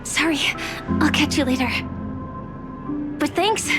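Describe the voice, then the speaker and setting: A woman's voice: high voice, slow. A woman playing a wary, straightforward young heroine in a video game, direct and questioning. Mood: softened. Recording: loud background music.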